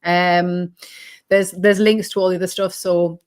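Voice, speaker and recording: British woman guest, a touch hoarse, lower voice, rambling and reflective. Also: little pitch movement, unhurried. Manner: reflective and rambling, many ums and restarts. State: slightly hoarse, losing her voice. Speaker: guest, holistic healing coach. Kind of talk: casual interview chat.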